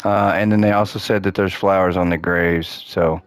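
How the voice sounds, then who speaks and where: A man with a low voice that keeps cracking because he is losing it. He chats casually and upbeat, counting and thinking aloud while he plays a game. Faint music runs underneath. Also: fairly even intonation, normal speed, no room sound. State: losing his voice. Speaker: gaming channel host. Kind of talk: casual gameplay commentary.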